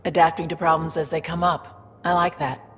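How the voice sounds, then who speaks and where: An actress playing a shrewd, wry space smuggler in a video game, with a low gravelly voice and a sly, self-assured way of talking. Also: female voice, flat delivery, normal speed, a bit bright. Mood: wry, teasing. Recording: reverberant room with faint background noise.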